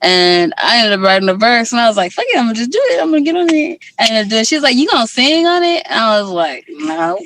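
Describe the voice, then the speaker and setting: A woman's voice: fairly high pitch, sing-song, fast, few pauses, a bit bright. A young woman from Guyana, guest musician in a casual interview, talking candidly with lots of fillers.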